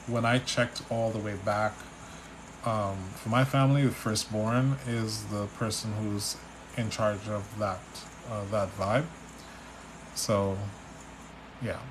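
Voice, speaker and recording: A man from Trinidad with a Trinidadian accent, a spiritual reader on a live stream, relaxed and chatty as he reads out viewers' questions. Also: on the low side, noisy background. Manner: relaxed, chatty, reads names and questions aloud, restarts often. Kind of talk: live stream reading, answering chat questions.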